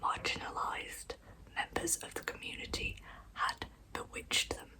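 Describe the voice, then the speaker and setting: A woman narrating true crime as ASMR in a soft, breathy whisper, reading in a measured way with wry, informal asides. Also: low-quality recording. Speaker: ASMR storyteller and channel host.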